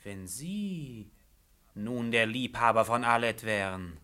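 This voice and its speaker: A man's voice: medium pitch, animated, slow pace, sharp tone. An actor playing an indignant man who questions and accuses in a radio play, on a noisy recording.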